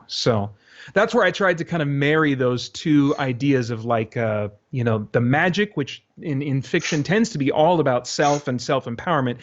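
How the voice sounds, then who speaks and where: Rambling man in a dull lo-fi recording. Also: medium pitch. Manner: rambling, often acts out his own thoughts as quotes. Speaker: interview guest, creator of a radio drama. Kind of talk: casual interview answers, thinking aloud. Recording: dull, lo-fi interview audio.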